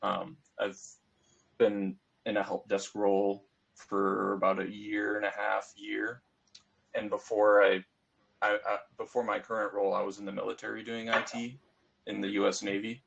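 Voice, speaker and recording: A man's voice: lowish voice, flat delivery, normal speed, vocal fry, a bit bright, breathy edge. An American man casually introducing himself as a game developer and IT worker. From United States. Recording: dull, lo-fi call audio.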